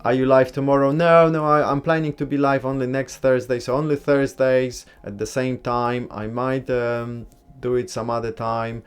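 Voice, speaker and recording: A man from Poland, hosting a casual live stream, reading and answering viewers' comments in a relaxed, chatty way and giving blunt opinions. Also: medium pitch, normal speed, few pauses, smooth. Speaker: livestream host.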